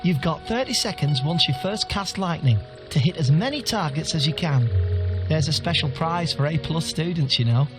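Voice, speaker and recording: An actor playing a dry, unimpressed magic-test grader in scripted fantasy game dialogue. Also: male voice, fairly high pitch, lively intonation, normal speed, over music, natural voice.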